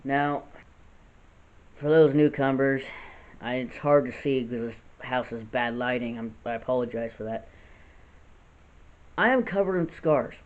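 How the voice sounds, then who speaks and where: A man in his late twenties with a gravelly voice, talking earnestly and unscripted in a vlog, often restarting his sentences. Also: mid-range voice, unhurried, pauses often. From United States. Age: 20s. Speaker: vlogger. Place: house with poor lighting. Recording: tinny phone-like audio.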